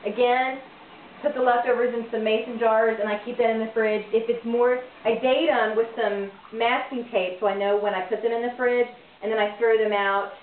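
Woman in her forties, chatty home-cook host, slightly high voice, far from the camera in a boomy kitchen, thin phone-like sound. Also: steady tone, normal speed, slight background noise. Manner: chatty, rambling, self-correcting; moves around the kitchen while talking. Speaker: home cooking vlogger. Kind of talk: casual cooking demo. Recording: echoey, distant, tinny phone-like sound.

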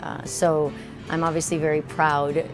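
A woman in her forties from Miami, a restaurant owner speaking in a seated outdoor interview, relaxed and full of filler pauses. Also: low voice, over music. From Miami, Florida. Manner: relaxed, many filler uhs, seated on a bench. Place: outdoor porch of a small building.

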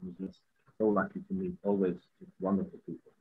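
A man's voice: low-pitched, very animated, a little fast, gravelly, husky. A middle-aged man with a Czech accent, a musician talking earnestly as a guest on a webinar video call, repeating words as he goes. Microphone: video call laptop mic. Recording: dull lo-fi video call audio.

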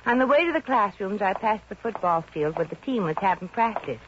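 A woman's voice: mid-range voice, lively intonation, brisk, close to an everyday voice. An actress playing a plucky, wisecracking, broke showgirl in a 1950s radio comedy, with a brassy, wry, slangy way of talking. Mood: wry storytelling. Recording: dull, noisy 1950 radio recording.

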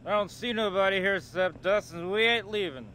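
An actor playing a leering, bullying bar lout in a video game. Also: male voice, high-pitched, a little fast, sharp tone, a little hiss or hum. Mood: leering, threatening. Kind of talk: video game cutscene acting.

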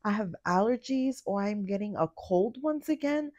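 A young woman with a raspy, fading voice after a cold, giving a casual voiceover for her couponing shopping vlog. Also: medium pitch, expressive, normal speed, darkish tone, dry recording, lo-fi sound. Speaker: couponing vlogger. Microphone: voiceover mic.

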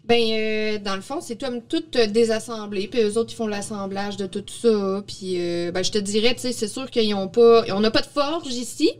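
A woman's voice: medium pitch, normal speed, few pauses. A woman with a Quebec French accent presenting products in a casual, explanatory podcast chat, recorded on a studio microphone. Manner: informal, explanatory. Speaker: presenter of young people's product projects. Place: small indoor podcast studio. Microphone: studio microphone on boom arm.

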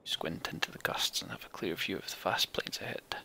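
A man narrates a video game in a very creaky, half-whispered voice. He reads story text aloud and drops in casual commentary as he plays. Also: low-pitched, brisk, pushed and bright. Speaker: let's play host reading game text aloud.

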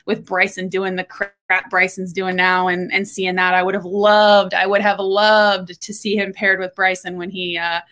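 Bright, chatty young woman podcaster. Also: medium pitch, little pitch movement. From Texas. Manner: chatty, candid, quick asides. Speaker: golf podcast co-host. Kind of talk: casual solo talk to camera. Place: room at home.

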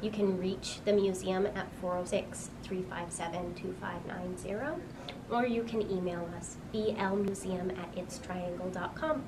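A woman's voice: on the low side, unhurried. A young woman, a museum director, explaining a walking tour on camera in a small museum room, earnest and informative with frequent "um"s. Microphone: camera a few feet away. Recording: distant mic with clear room noise.

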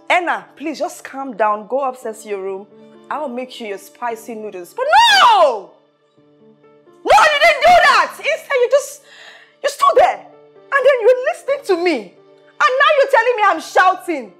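A woman's voice: high-pitched, huge pitch swings, quick pace, faint music behind. An actress playing a haughty, hot-tempered rich woman who scolds and repeats her questions emphatically in a film drama. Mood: angry, indignant. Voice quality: loud, shouting when angered. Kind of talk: low-budget film drama acting.

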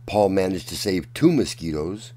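A man reading a children's picture book aloud as a storyteller, in a deliberate, dramatic manner. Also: low-pitched, very animated, a little mellow. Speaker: children's storyteller and channel host.

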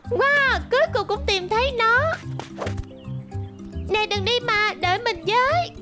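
A very high-pitched, confident little girl from a kids' cartoon. She is stubborn and boastful, always ready to defy anyone. Music plays clearly behind her. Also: lively intonation, a little slow. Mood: eager, determined. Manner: boastful, defiant. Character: stubborn, confident young girl dinosaur trainer. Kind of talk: animated children's cartoon dub. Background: music bed.

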